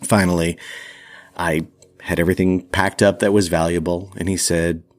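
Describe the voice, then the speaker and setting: A man hosting a storytelling podcast, speaking candidly and wryly with deliberate, dramatic pauses. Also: sits low, flat delivery. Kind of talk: personal storytelling.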